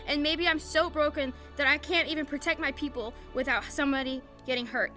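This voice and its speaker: A woman playing a self-doubting, battle-worn young woman in an audio drama, with a halting, confessional manner. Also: high-pitched, steady tone, bright, forceful tone, faint music behind. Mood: wounded, confessional.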